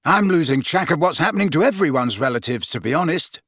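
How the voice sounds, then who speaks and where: Man as a blunt, grumbling teenage boy, pitched up. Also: animated, quick pace, fairly bright tone, no room sound, studio quality. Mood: casual, grumbling. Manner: indignant, blunt.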